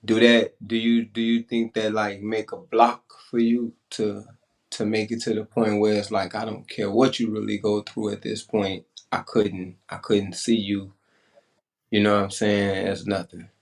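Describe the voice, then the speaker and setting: A man in an informal panel discussion, asking questions in a halting way as he feels for the wording. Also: fairly low pitch, flat delivery, gravelly.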